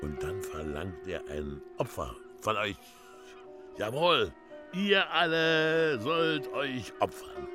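An actor playing a fanatical, superstitious villager in a German radio play, speaking with ominous, drawn-out emphasis. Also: male voice, mid-range voice, big pitch swings, music in the background. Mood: ominous, fervent. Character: fanatical superstitious villager preaching old gods and sacrifice.

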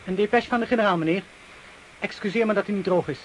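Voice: medium pitch, fast, natural voice. A performer playing an eager, polite young male junior officer in a radio drama, full of questions. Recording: noisy old radio play, clicks.

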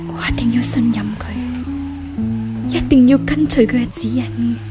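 An actress as a timid young woman in an old Cantonese radio play, speaking with a Hong Kong accent. Her voice is high and earnest, full of theatrical wonder and regret. Plucked-string music plays clearly behind her. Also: moderate pace, close to an everyday voice. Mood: hushed wonder. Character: repentant, timid young woman longing for a new life. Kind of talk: 1970s Cantonese radio drama acting.

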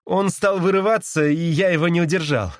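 A man's voice: high voice, fairly quick, no room sound, clean studio sound, pitched above the performer's own voice, natural voice. A man voicing a curt, irritable, grumbling man as an audiobook character. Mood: defensive. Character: gruff, irritable man, grudging and evasive.

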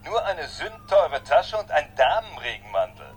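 An actor playing a brash, slangy, jokey buddy leaving answering machine messages in a radio play. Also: male voice, fairly high pitch, sing-song, normal speed, faint music behind. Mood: nosy, teasing. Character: brash, slangy New York buddy, cool guy.

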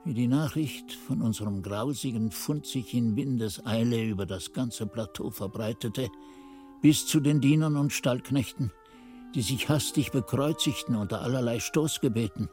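An actor playing a reflective old monk narrating grim memories in a radio drama, with a husky, mellow voice and literary delivery. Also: male voice, fairly low pitch, lightly acted.

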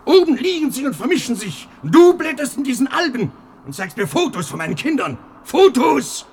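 An actor playing an older husband in a German radio play, fretful and proud, lamenting and rambling in an agitated way. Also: male voice, very high voice, very animated, normal speed, slight background noise, full character voice. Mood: indignant, furious. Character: fretful, proud old husband guarding his life's collection.

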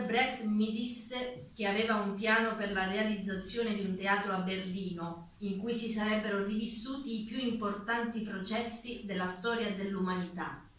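Female stage narrator, declamatory and bright, reading aloud in a very reverberant room with faint noise. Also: mid-range voice. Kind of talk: theatrical narration and document reading. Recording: very echoey room, distant mic, faint noise.

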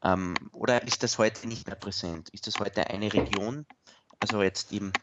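A man's voice: lowish voice, fairly even intonation, normal speed, heavy background noise. A man interviewing a guest on the radio, asking long, searching questions full of fillers.

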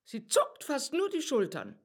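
Grumbling housemaid, fairly high, very lively melody, put-upon, literary radio drama. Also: female voice, unhurried, heavily acted.

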